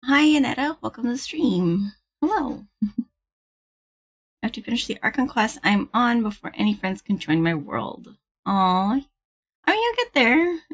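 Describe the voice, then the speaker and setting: Slightly husky, creaky-voiced woman streamer. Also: on the high side, sing-song, measured pace, pauses often. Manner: bubbly, giggly, chatty greetings. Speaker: livestreamer hosting a gaming stream. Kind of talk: casual stream chat with viewers.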